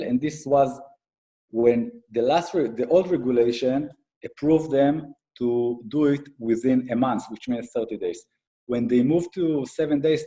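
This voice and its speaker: A middle-aged man with an Israeli accent giving an explanatory business talk as a guest expert, haltingly, with frequent fillers. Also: medium pitch, dark tone, rough recording. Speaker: guest speaker, IT advisory consultant and accountant.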